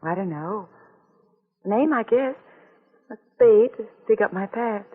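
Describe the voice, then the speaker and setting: A frightened young woman who has lost her memory, anxious and pleading. Her voice has a soft breathy quality. It comes through thin and tinny, like an old radio play. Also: mid-range voice, animated, unhurried. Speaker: detective's client. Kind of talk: 1940s radio acting.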